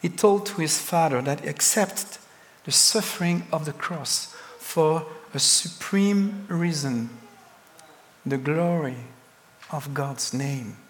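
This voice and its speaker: A man with a French accent preaching a sermon as a pastor, speaking slowly and deliberately with emphatic pauses. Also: a little high, long reverb tail, a little hiss or hum.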